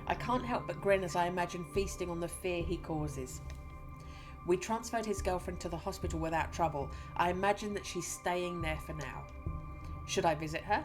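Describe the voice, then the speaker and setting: A woman's voice: on the low side, natural voice. A woman with a stuffy nose reading aloud the inner thoughts of a cold, fear-hungry young musician in a game.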